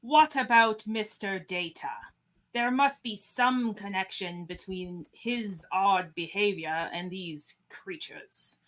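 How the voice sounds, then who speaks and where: Woman as composed starship captain, unhurried delivery, mid-range voice, authoritative, probing, sci-fi radio play. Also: medium pitch, a bit bright. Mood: measured, commanding. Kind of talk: podcast live script reading.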